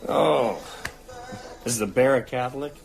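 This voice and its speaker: An actor playing a thoughtful, wry male novelist in an audio drama, measured and a little hesitant. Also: mid-range voice, gravelly, faint music behind. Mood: wry, casual. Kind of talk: audio drama narration and naturalistic dialogue.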